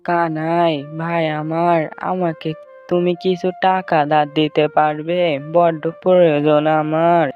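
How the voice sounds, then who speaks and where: A man's voice: a little high, level tone, takes it slowly. A man voicing a poor, patient farmer and father in a cartoon, pleading and resigned in manner. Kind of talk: Bengali moral-story cartoon dubbing. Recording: muffled audio with background music.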